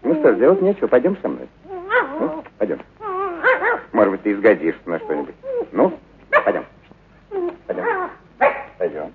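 An actor voicing a short, plump, soft-hearted man who coaxes and soothes a stray dog in a radio story. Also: on the low side, wildly swooping pitch, moderate pace, pauses often. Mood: coaxing. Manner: cooing, coaxing, repeating soothing phrases. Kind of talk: radio literary reading, acted dialogue. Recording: narrow phone-like radio sound with clear noise.

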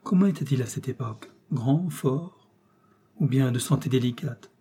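Man voicing a polite male investigator, composed, formal, probing, husky medium-pitched voice, audiobook dialogue. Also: moderate pace, dark tone. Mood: courteous, probing.

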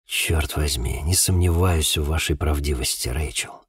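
A man's voice: rumbling low, measured pace, breathy edge, dry recording, studio quality, exaggerated voice. A man voicing a troubled, earnest police investigator in audiobook dialogue, with a restrained manner. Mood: hoarse, firm.

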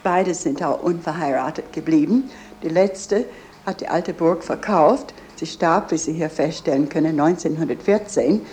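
A middle-aged woman with a low, mellow voice speaks as a tour guide. She explains things earnestly, with small slips of grammar. There is some background noise from the location. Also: normal speed. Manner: earnest, with slightly faulty grammar. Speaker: museum guide and owner of a memorial house.

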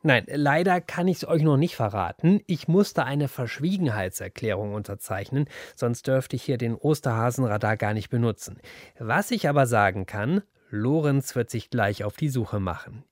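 A man's voice: mid-range voice, expressive, normal speed, no room sound, studio quality. A man hosting a children's radio programme in a playful presenting style. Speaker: host.

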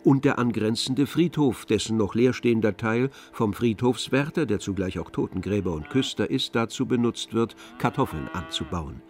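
Narrator: man with a lower-pitched voice, measured literary storytelling for a radio play, music underneath. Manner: measured, descriptive.

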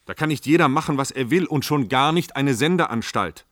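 A man's voice: mid-range voice, animated, a little fast, a bit bright, no room sound, natural voice. A male actor as an officious official in a radio drama. His voice sits in the middle range and is recorded dry, and he speaks in stiff, pedantic, bureaucratic phrases. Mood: stern. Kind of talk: German radio play acting.

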